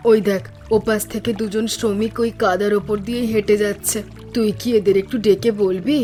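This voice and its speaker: A woman voicing a practical, caring village mother in a cartoon story, with a measured manner. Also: medium pitch, fairly even intonation, dark tone, soft background music, close to an everyday voice.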